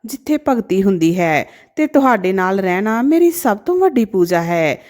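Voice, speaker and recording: A woman's voice: medium pitch, sing-song, moderate pace, a little mellow, voice pushed down, close to an everyday voice. A woman voicing a devoted young doctor daughter in a storybook tale, speaking earnestly and pleadingly to her father. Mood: tender, insistent.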